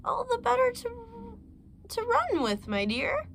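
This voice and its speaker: A woman's voice: wildly swooping pitch, slow pace, a little hiss or hum, exaggerated voice. A woman doing a sly wolf faking a granny voice. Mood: falsetto mimicry. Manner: high squeaky put-on voice.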